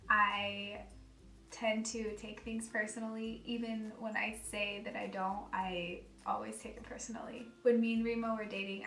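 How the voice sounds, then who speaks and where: A soft-spoken American woman in her twenties chatting casually and giggling in a vlog. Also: medium pitch. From United States. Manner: chatty, giggly, self-deprecating. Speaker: vlogger. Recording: echoey room, voice a bit far from mic, faint music.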